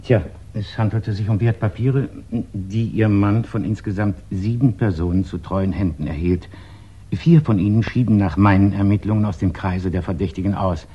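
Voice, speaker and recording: A discreet private detective in a vintage radio crime play. His voice is very low and soft-edged, a little husky, with formal, matter-of-fact phrasing. The old recording sounds muffled. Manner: formal, deliberate, matter-of-fact with hesitant pauses. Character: businesslike male English private detective, measured and discreet. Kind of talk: 1960s German radio crime drama acting.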